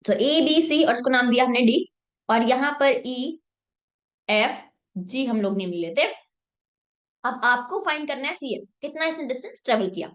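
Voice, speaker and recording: A woman's voice: high-pitched, expressive, a little slow. A young woman math teacher with a sore throat, explaining a problem step by step in a mix of Hindi and English and answering her own questions.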